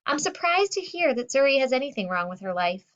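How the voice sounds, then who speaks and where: A woman narrates a first-person audiobook in a reflective storytelling way. Her voice sits fairly high. The recording sounds dull and lo-fi. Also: sing-song, brisk, a bit bright.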